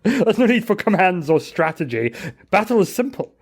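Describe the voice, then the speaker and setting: A man voicing a boastful, simple-minded, fearless warrior in a tabletop game, speaking in grandiose, deliberate declarations. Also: high-pitched, sing-song, exaggerated voice. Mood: proud, solemn.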